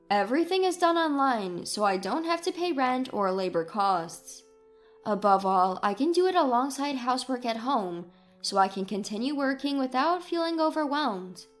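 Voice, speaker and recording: A woman narrates a scripted story in the first person. Her voice sits fairly high, and soft music plays behind her.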